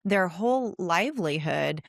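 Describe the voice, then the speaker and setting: A woman's voice: lowish voice, lively intonation, a little slow, gravelly, no room sound, studio quality. A woman hosting a conversational interview, speaking earnestly and affirming her guest. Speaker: podcast host interviewing a guest.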